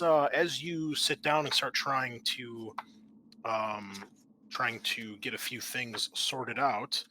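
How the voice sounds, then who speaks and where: A man's voice: mid-range voice, sharp tone, a little hiss or hum, rough recording. A man working as game master in a tabletop roleplaying game, explaining things in a conversational way. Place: online voice call.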